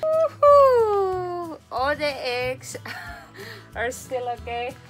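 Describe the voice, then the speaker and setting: A young woman chatting cheerfully in a slow, relaxed way for a sailing vlog, filmed indoors on a handheld camera. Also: high-pitched, over music. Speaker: sailing vlogger. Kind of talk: casual vlog chat. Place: indoors, cabin and rented bedroom.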